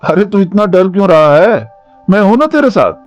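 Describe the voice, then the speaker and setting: A man's voice: high voice, sing-song, moderate pace, dull audio. A man voicing a skeptical young village man with a blunt, teasing way of talking, over background music. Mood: steady.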